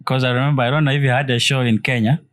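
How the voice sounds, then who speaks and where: A man hosting a casual interview, informal and quick to interject agreement. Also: mid-range voice, level tone, a bit bright, no echo. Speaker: podcast host.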